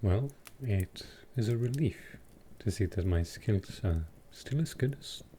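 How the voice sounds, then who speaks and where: A man voicing a gentle, courtly vampire lord in a roleplay audio drama, speaking softly and formally with halting care. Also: fairly low pitch, expressive, slight background noise. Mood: protective, soothing. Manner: soft, slow, formal, halting. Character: gentle old-world male vampire lord, protective and courtly.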